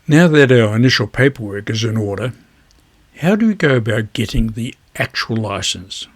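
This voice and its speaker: A man with a husky voice, presenting an instructional vlog in measured phrases. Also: medium pitch, expressive. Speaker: amateur radio video host.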